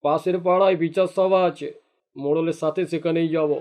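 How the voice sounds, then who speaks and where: A man's voice: fairly high pitch, steady tone, brisk, a little mellow, soft background music, close to an everyday voice. A man voicing a jealous, greedy fisherman in a cartoon, grumbling and indignant in his delivery. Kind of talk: cartoon dialogue acting.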